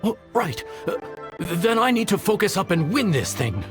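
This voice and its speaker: A man's voice: sits high, very animated, moderate pace, over music. An actor voicing a young male chef who is nervous but earnest and hesitates with stammers. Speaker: chef, contestant.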